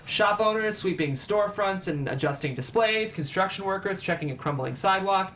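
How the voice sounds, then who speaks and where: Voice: medium pitch, expressive, brisk, fairly bright tone, slight background noise. A narrator reading scene headings and stage directions at a screenplay table read, in scripted narration.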